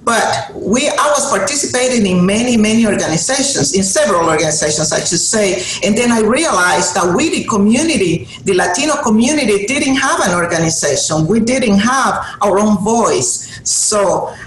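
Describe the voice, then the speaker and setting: A woman's voice: medium pitch, lively intonation, normal speed, few pauses. A middle-aged woman from Honduras, a native Spanish speaker with a Honduran Spanish accent, giving an earnest motivational speech to an audience, with emphatic repeated phrases. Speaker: guest speaker. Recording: roomy hall sound with faint noise.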